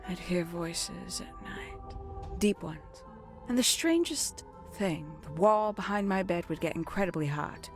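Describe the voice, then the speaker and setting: An actress playing an enigmatic, brooding woman in a video game, telling her story in a measured, theatrical way with dramatic pauses. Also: fairly low pitch, lively intonation. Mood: haunted, hushed. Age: adult, older than nineteen. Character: enigmatic, brooding woman recounting a secret family past. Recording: background music under the dialogue.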